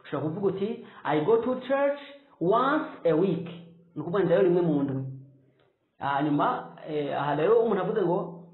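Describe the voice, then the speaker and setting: A man, teaching English phrases at a whiteboard and saying the examples slowly and clearly. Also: high-pitched, expressive. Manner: slow, drawn-out model phrases. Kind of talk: whiteboard language lesson. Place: small room with whiteboard. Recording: echoey room, tinny phone-like sound.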